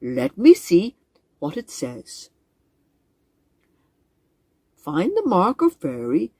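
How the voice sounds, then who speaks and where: A woman voicing a wise old male frog who speaks slowly and deliberately. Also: lowish voice, huge pitch swings, dark tone, husky, dry recording, heavily acted. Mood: thoughtful.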